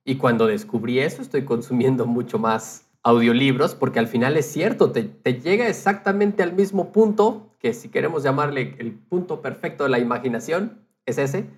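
Man with mid-pitched voice, chatting casually. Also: normal speed. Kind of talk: casual conversational podcast talk.

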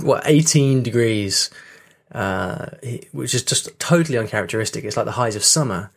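A man hosts his podcast alone, rambling along in a chatty, easygoing way. His voice sits at an ordinary middle pitch for a man, and he often stops and restarts a thought. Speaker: podcast host. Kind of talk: casual solo podcast chat. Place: home room with rain on the windows.

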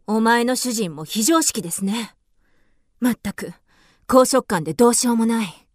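A haughty, high-voiced young noblewoman. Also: expressive, moderate pace, dry recording, studio quality. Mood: haughty, imperious. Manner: imperious, formal, refined speech. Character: haughty young noblewoman in mourning, easily smitten. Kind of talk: radio drama acting.

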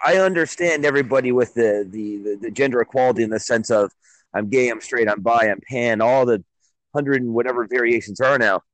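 A man's voice: medium pitch, fairly quick, no echo, low-quality recording. Blunt Canadian man on talk radio. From Ontario, Canada. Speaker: call-in guest on a radio show. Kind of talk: casual opinionated chat.

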